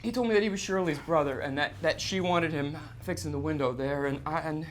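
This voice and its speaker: An actor playing a distraught, guilt-ridden man on stage, confessing in halting, repetitive, stammered phrases in a live theatre monologue. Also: fairly high pitch, a little hiss or hum, natural voice. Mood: haunted, hesitant. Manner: halting, stammering, repeating himself.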